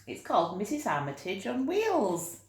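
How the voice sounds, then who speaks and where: A woman reading a picture book aloud to young children, expressive, with chatty asides and gentle explanations. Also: medium pitch, normal speed. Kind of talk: animated read-aloud storytelling with chatty asides. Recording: roomy, echoey room sound.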